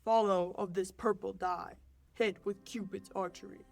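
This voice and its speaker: A stern fairy king voiced by a female in her late teens gives orders in imperious verse. The pitch is middling, in the manner of an amateur Shakespeare radio play. Faint music plays underneath. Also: steady tone, moderate pace, creaky, close to an everyday voice. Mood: commanding, incantatory. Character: commanding, stern fairy king casting love spells.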